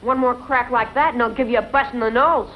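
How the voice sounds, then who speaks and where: A woman's voice: fairly high pitch, steady tone, a little fast, highs cut off, a little hiss or hum, lightly acted. A woman playing a scrappy, loyal young ranch boy in an old western, plucky and ungrammatical. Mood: defiant. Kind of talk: 1940s western film acting.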